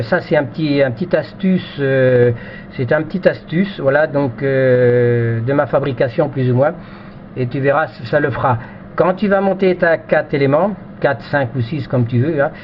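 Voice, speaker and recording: A man in a casual technical chat as an amateur radio operator, often repeating himself and hesitating as he explains. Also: a little high. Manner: repetitive, hesitant phrasing. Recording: muffled band-limited radio audio, faint noise.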